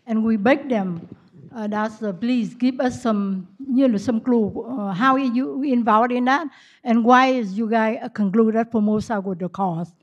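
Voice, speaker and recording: A woman's voice: on the high side, lo-fi sound. Hesitant woman speaking at a conference mic. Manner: hesitant, many fillers and restarts, broken English. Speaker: panel speaker. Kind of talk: answering audience questions. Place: conference ballroom. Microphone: stand mic close up.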